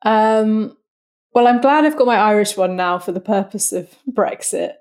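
A woman's voice: mid-range voice, normal speed, a little mellow, clean tone. A young woman chats in a casual, friendly way. She asks curious follow-up questions and laughs easily. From North London, England. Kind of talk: casual conversation between friends.

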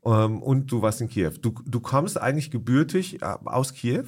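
A man's voice: medium pitch, animated, normal speed, darkish tone, breathy edge. A man hosting a podcast in a conversational interview style, with hesitant, rambling phrasing full of ums. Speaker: podcast host.

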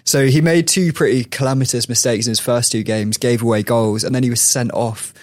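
A man's voice: mid-range voice, steady tone, fairly quick, no echo. Guy telling footy stories on a pod, laughs a lot, dead dry mic. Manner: chatty storytelling with laughs. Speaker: podcast host. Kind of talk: casual chat.

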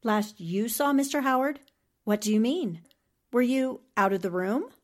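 A woman's voice: a little high, lively intonation, unhurried. An actress playing a shrewd, self-assured newspaperwoman with a questioning manner in a 1940s-style radio drama. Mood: probing, assured. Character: composed, shrewd, assertive newspaperwoman sleuth.

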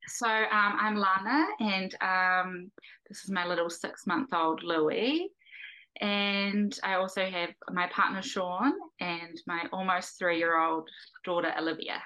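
A woman recounts her pregnancy in a relaxed, easygoing way, pausing on ums as she goes. Her voice sits a little low in pitch yet sounds bright and forward. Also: low-quality recording. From New Zealand. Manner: relaxed storytelling with fillers and short pauses. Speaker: guest, mother. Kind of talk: casual podcast interview.

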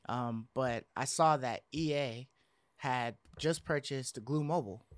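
A man's voice: mid-range voice, measured pace, no room sound. A man hosting a casual gaming chat, talking in an informal, slangy, rambling way. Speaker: podcast host.

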